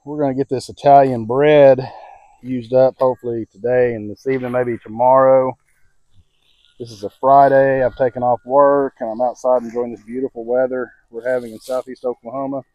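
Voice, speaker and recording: A man's voice: medium pitch, flat delivery, normal speed, no echo, lo-fi sound. An American man hosting a casual outdoor cooking vlog, talking in a folksy, informal way and thinking aloud. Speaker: home cooking video host. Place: outdoors on a home patio. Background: music from a radio.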